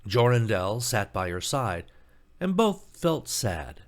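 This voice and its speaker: Man narrating an expressive fairy tale. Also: fairly low pitch, slow. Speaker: storybook narrator.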